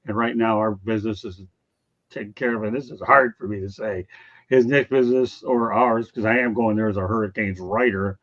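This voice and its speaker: Blunt sports host, slightly muffled audio. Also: male voice, lowish voice, steady tone, fairly quick, a bit bright. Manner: blunt, teasing, addresses chat viewers by name. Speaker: sports talk show host. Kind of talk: casual sports chat and ranting.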